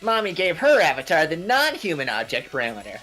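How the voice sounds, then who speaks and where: A childlike little robot bear, acted by a woman in a game fan dub. The voice sits low for a woman and swings in a lively way as it explains things earnestly. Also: fairly quick, soft background music, heavily acted. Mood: earnest, explaining.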